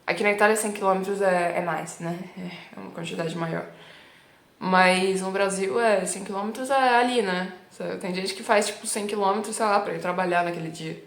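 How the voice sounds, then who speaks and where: A woman's voice: medium pitch, fairly even intonation, moderate pace. A Brazilian woman with a São Paulo accent, teaching in a chatty, emphatic way full of small tag words. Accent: São Paulo Brazilian Portuguese. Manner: chatty and emphatic, frequent "né" and "tipo" tags. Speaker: Italian teacher. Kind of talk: casual teaching vlog. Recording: roomy echo.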